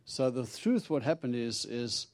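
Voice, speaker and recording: A man's voice: medium pitch, very animated, unhurried, a little mellow, dry recording. A middle-aged man from South Africa with a Texan accent, preaching a chatty, humorous sermon and acting out his talks with God. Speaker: visiting preacher. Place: church.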